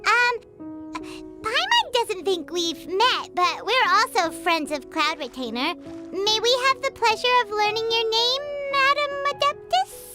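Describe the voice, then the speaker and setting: Tiny squeaky game fairy sidekick, super high and perky, bouncing all over, music going loud behind. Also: female voice, big pitch swings, measured pace, full character voice. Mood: nervous, polite. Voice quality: squeaky, childlike. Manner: perky, refers to herself in third person. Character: small chatty fairy-like companion guide, childlike and excitable. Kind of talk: animated video game voice acting.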